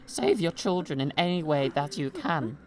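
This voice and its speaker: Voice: medium pitch, big pitch swings, normal speed, lightly acted. A performer playing a frantic but cautious elderly man giving urgent warnings in an audio drama.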